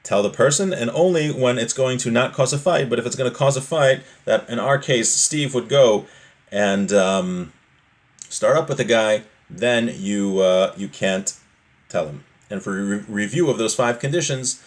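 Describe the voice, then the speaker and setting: A man's voice: medium pitch, moderate pace. Man teaching religious law, plain-spoken and explanatory. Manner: explanatory, plain-spoken, repeats points in simple everyday examples. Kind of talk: informal religious text lesson, reading aloud and explaining.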